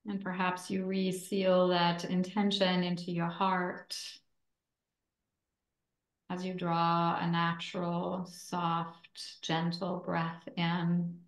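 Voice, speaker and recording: A woman with a slightly low voice guides a relaxation practice at a very unhurried pace. She speaks gently and deliberately, leaving long pauses between phrases. The room adds a noticeable echo around her words. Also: monotone. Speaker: yoga nidra instructor.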